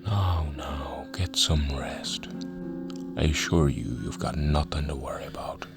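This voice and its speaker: A man acts out a village preacher for an audio drama, with an extremely low voice. He speaks slowly and deliberately, like a father calming his flock, yet with something quietly ominous underneath. Music plays clearly behind him. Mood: soothing, firm. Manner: slow, deliberate, paternal, calls the listener brother.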